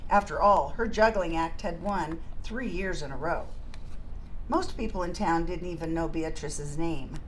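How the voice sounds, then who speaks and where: A woman's voice: on the low side, measured pace. Middle-aged woman reading a storybook, noisy room. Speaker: teacher reading a story aloud. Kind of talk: children's picture-book read-aloud. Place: carpeted indoor room with couches.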